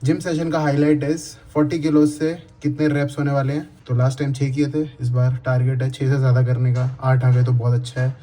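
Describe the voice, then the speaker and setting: A man's voice: medium pitch, little pitch movement, fairly quick, hardly any pauses, warm tone. A young man vlogging casually to camera about his fitness routine, talking with a sore throat and a slight cold, switching between Hindi and English phrases. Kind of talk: casual vlog talk to camera. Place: home, then gym.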